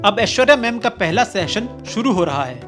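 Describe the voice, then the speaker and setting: A woman narrates in a scripted vlog style. Her voice sits at a middle pitch, and music plays clearly underneath it. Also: animated, brisk. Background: background music.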